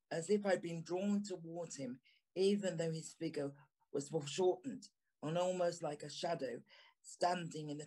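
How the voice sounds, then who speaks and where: A man's voice: on the high side, darkish tone, rough recording. A man playing a haunted, remorseful journalist, narrating an audio play with brooding, theatrical phrasing. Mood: hushed, uneasy recollection. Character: haunted, remorseful journalist recalling an eerie encounter. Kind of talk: dramatic first-person audio play narration.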